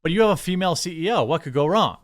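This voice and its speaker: A man's voice: a little high, lively intonation, racing. An American man giving opinionated news commentary, reading articles aloud and reacting with blunt, sarcastic, exasperated asides. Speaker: commentary show host.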